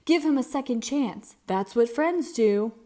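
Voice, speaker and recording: Friendly storybook cat, male, earnest, bright swings in pitch, unhurried, read by a woman. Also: lively intonation.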